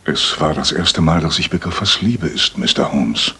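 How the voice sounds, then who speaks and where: Radio play confessor: middle-aged father, deep, heavily husky, halting, full of remorse. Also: expressive, pushed and bright, heavily acted. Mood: anguished. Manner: halting, earnest.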